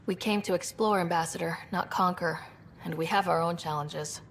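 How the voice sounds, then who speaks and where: Low-voiced, earnest space explorer. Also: female voice, steady tone, normal speed, gravelly, slight background noise. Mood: earnest, diplomatic. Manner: earnest, diplomatic, composed. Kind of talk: video game acting.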